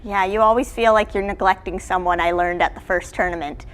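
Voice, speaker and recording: A woman's voice: medium pitch, fairly even intonation, a little fast. A woman from Canada, a college golf coach, chatting casually as an interview guest in a studio. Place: television studio.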